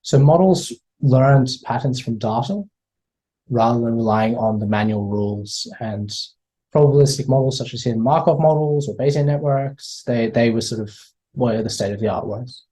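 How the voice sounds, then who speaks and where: A man's voice: mid-range voice, moderate pace, warm tone, breathy edge, poor audio. A man in his thirties with an Australian accent, giving an online lecture over a video call in a hesitant way, with many fillers and repeated words. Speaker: guest speaker.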